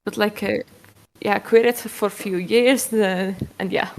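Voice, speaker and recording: A woman's voice: medium pitch, steady tone, unhurried, poor audio. A young adult woman, a VTuber guest, talking hesitantly in a casual interview and leaning on "like" and "you know".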